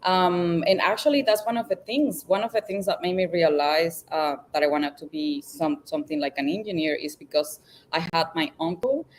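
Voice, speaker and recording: Low-voiced woman, halting speech. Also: slight background noise, rough recording. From Venezuela. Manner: halting, with many fillers and restarts, laughs often. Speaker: guest engineer. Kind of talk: casual interview chat.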